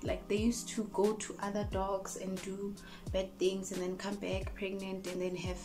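A woman's voice: medium pitch, monotone, normal speed, over music. A young woman in her twenties from South Africa, chatting casually and rambling into her phone camera in a small room. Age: 20s. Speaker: vlogger. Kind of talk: casual get-to-know-me chat. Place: small indoor room, in front of cupboards. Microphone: phone camera at close range.

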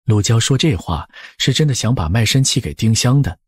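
Husky male storyteller with lively intonation. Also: mid-range voice, a little fast, dry recording, studio quality. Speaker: audiobook narrator. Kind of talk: scripted storytelling narration of a historical novel.

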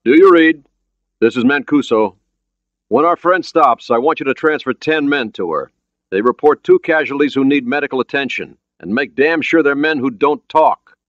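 Man voicing a brisk, commanding American submarine captain. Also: sits low, lively intonation, no room sound, highs cut off. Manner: brisk, plain-spoken military orders.

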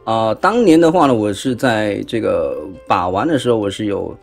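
Low, gravelly Taiwanese man. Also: animated, moderate pace, soft background music. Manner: chatty, punctuated with particles and rhetorical questions. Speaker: toy unboxing reviewer. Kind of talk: casual hands-on product review commentary. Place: indoors at a tabletop.

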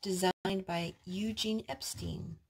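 Woman, parent reading picture books to little kids at home; husky medium-pitched voice, simple child-directed delivery. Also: fairly even intonation, slow pace. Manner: simple, instructive child-directed speech with questions. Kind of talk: storytime reading and sing-song talk to small children. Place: living room at home, indoors.

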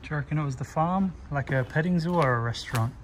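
Excited male explorer recorded outdoors. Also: on the high side, fast. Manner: excited, chatty. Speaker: urban explorer. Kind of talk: casual on-location chat. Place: outdoors at an abandoned theme park. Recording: outdoor camera audio with ambient noise.

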